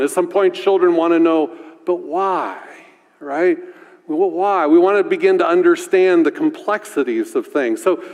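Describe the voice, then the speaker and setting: A male pastor with a deep voice preaching a sermon in a conversational way, often asking "right?". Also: darkish tone, in a large hall.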